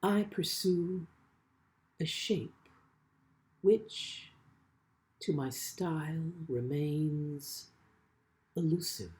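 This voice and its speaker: A man giving a literary reading as a poet, with deliberate, measured phrasing and frequent pauses. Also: a little high, darkish tone. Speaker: poet reading own work. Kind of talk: literary poetry reading with brief spoken introductions.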